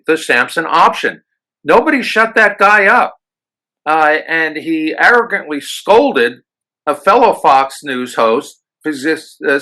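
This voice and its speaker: An American man hosting a radio interview, talking haltingly with many fillers and restarts. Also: on the high side, pushed and bright, low-quality recording. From United States. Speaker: radio show host.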